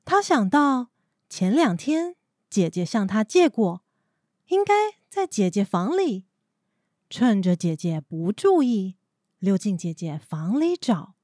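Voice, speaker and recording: Lively, animated woman narrator. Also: medium pitch, slow pace, no room sound, clean studio sound. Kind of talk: scripted story narration.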